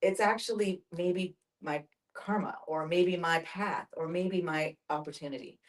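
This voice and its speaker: A middle-aged woman telling personal experience in a group discussion, reflective and halting as she thinks aloud. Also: on the low side. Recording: distant mic, group discussion.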